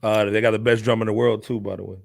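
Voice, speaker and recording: A man with a gravelly voice co-hosting a hip hop podcast, chatting casually in slang and affirming points. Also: lowish voice, flat delivery, very fast, no room sound. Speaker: podcast co-host.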